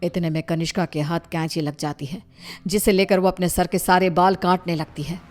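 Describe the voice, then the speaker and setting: A woman's voice: on the low side, steady tone, moderate pace, a little mellow. A woman narrating a scripted story, with music behind her voice.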